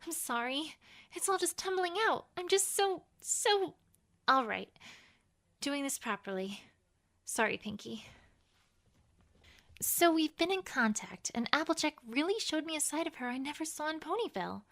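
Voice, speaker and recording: A woman's voice: sits high, lively intonation, normal speed, long pauses, a bit bright. A woman voicing an earnest, bookish young female pony scholar in an emphatic, conversational letter reading for an audio drama. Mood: flustered, confiding.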